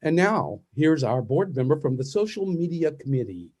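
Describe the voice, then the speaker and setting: A man's voice: a little high, big pitch swings, moderate pace, a crowd in the background, background noise. A man narrating and announcing a radio play from a script, in storytelling style.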